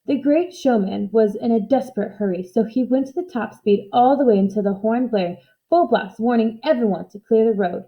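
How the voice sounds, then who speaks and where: A woman reading a children's storybook aloud in expressive narration. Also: a little high, moderate pace, lo-fi sound. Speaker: storybook reader.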